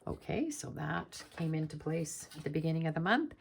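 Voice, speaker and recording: A woman with a low, gravelly voice, hoarse and croaky from a cold. She talks through a card-making tutorial in a chatty, patient way, with a husky edge. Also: very animated, normal speed. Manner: chatty, explains steps patiently with fillers. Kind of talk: casual crafting tutorial.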